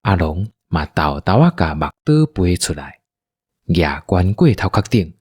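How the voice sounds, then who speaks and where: Deep, animated Taiwanese male storyteller. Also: a little slow, slightly rough, no echo, clean studio sound. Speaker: narrator. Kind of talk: scripted radio drama storytelling in Taiwanese.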